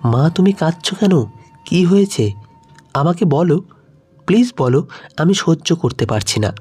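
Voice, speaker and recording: Man voicing a caring daughter, slightly high. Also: sing-song, unhurried, darkish tone, husky, soft background music, full character voice. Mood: worried. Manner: tender, urgent questioning. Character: caring, anxious young female district officer daughter.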